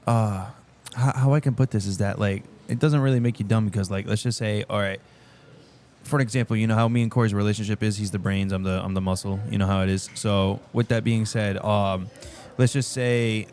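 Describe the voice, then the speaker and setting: A young man with a slightly low voice co-hosts a casual podcast. His speech is loose and slangy, full of fillers, and he keeps calling things wild or crazy. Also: steady tone, fairly quick, darkish tone. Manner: laid-back slang, fillers, says bro and wild. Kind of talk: casual chat.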